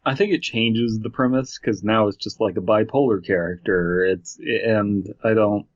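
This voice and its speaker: A man's voice: fairly low pitch, fairly even intonation, normal speed, dry recording, lo-fi sound. A man co-hosting a casual podcast, talking in a laid-back, rambling way with plenty of fillers. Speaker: podcast co-host. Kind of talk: casual chat, reading a story aloud.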